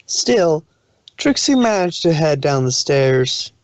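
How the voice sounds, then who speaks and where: A man's voice: fairly high pitch, sing-song, slow, dry recording, highs cut off. A man narrating a story aloud as a fan fiction reader, occasionally stumbling over the text.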